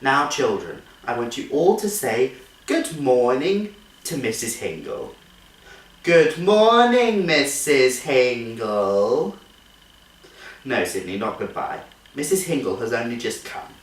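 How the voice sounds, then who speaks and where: A genteel nursery teacher played by a man in a theatrical comic monologue. The delivery is animated and singsong, coaxing sweetly, then scolding with thinly held patience. It is recorded at a distance in an echoey room. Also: mid-range voice, slow. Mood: firm, exasperated. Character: genteel, strained-cheerful female nursery teacher, patient but exasperated.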